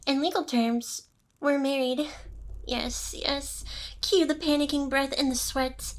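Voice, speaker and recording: A woman voicing a smug, possessive ancient demoness boss in an intimate, seductive ASMR roleplay, with teasing pauses. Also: high voice, steady tone.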